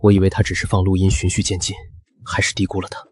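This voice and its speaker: A man's voice: low voice, monotone, fast talker, a little mellow, close to an everyday voice. A man playing a composed, brooding ancient mystic reborn as an actor, in earnest, theatrical scripted drama. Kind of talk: scripted dramatic monologue and dialogue.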